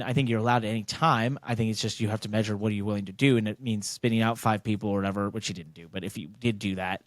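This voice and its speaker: A man's voice: mid-range voice, no room sound. An American man hosting a casual sports podcast, talking bluntly and emphatically in long, rambling turns. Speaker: podcast host, racing driver.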